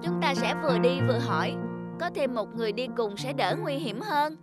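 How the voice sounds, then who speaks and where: A woman voicing an earnest, childlike little talking household object in a children's cartoon, with an expressive manner. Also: sits high, a little fast, loud music behind the voice. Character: childlike talking cup and flashlight, eager, easily frightened young friends. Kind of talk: dubbed children's cartoon dialogue.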